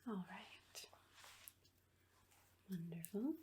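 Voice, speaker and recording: A woman playing a sinister asylum nurse in a horror ASMR roleplay, whispering in a breathy, coaxing, falsely reassuring way. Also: mid-range voice, extremely slow, dark tone, a little hiss or hum. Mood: soothing, clinical. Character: sinister asylum nurse, soothing on the surface, quietly menacing.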